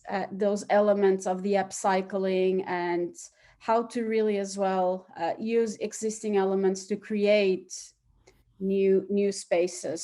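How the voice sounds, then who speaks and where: A woman's voice: mid-range voice, dark tone. Woman in an interview. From Portugal. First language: Portuguese. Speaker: interview guest, start-up hub operations chief. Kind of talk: conversational interview answers.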